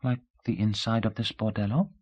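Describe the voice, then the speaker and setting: A male narrator voicing a candid, shrewd young woman in her early twenties who speaks softly and asks pointed questions in an audiobook. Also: on the low side, fairly even intonation, moderate pace, husky, voice pushed up. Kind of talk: audiobook character voice.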